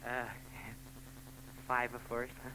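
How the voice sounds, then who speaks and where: An actor playing a giggling, stammering, underweight lush in a 1940s radio drama. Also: male voice, medium pitch, completely flat delivery, slow pace, background noise, close to an everyday voice. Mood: wheedling. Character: giggly scrawny barfly man, wheedling lush.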